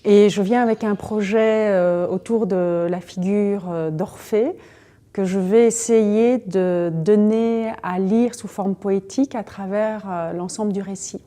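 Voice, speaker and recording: A woman's voice: medium pitch, steady tone, a little slow, warm tone. A woman from Belgium, a poet speaking reflectively in an interview and searching for words with fillers.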